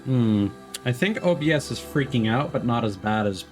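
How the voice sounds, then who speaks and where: A man's voice: mid-range voice, lively intonation, moderate pace. Man musing aloud over faint music. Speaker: game streamer. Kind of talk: casual live game commentary. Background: faint game music.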